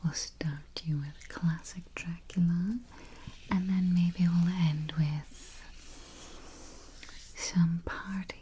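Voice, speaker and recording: Slow, whispery, soft-spoken ASMR woman. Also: fairly low pitch, fairly even intonation. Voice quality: soft, breathy, mostly whispered. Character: polite, drily morbid female vampire travel agent. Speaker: ASMR roleplay performer playing a spooky travel agent. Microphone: close ASMR microphone. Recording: faint hiss, close keyboard typing and clicks. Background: typing, clicking and gentle tapping.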